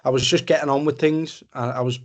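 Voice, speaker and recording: A man's voice: mid-range voice, steady tone, a little fast. A young man with a Liverpool Scouse accent giving an informal, plain-spoken personal talk in a conversational way. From Liverpool area, England. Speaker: men's mental health support group speaker. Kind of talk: informal personal testimony presentation. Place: online webinar video call.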